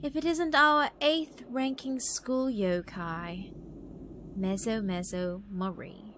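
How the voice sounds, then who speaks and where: A woman's voice: mid-range voice, fairly even intonation, takes it slowly, a bit bright, slight background noise. A woman voicing a genteel male spirit in a visual novel, polite, drawling and smugly amused. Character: sly, genteel male tea-drinking supernatural gentleman.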